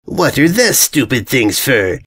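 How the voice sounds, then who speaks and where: Man doing a slow, blustering, dim-witted armoured brute. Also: medium pitch, sing-song, a bit bright. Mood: boastful. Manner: bad grammar, blustering, drawn-out words. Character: dim-witted, boastful male armoured invader, childishly petulant.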